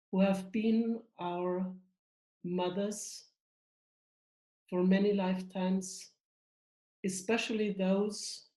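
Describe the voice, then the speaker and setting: A woman, not an English speaker, reciting a calm, measured blessing over a video call. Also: mid-range voice. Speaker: guest giving a blessing. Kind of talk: slow recited prayer. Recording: echoey room.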